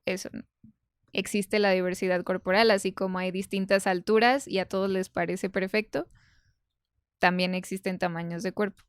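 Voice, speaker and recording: A woman's voice: mid-range voice, smooth, no echo, studio quality. A woman giving conversational explanations as an expert guest, emphatic and didactic, going point by point. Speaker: podcast guest, nutrition expert.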